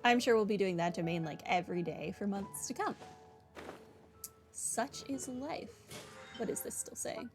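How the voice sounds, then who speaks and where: A woman chats casually as she streams a game. Her mid-pitched voice has a dry, wry, rambling delivery, with game music playing behind her. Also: expressive, normal speed, pauses often. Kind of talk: casual game livestream commentary.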